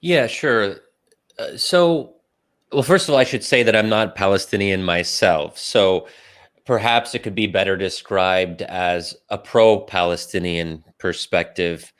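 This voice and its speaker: A man from the United States giving careful, measured answers as a podcast guest, pausing often on ums and restarts. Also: low-pitched, fairly bright tone, smooth.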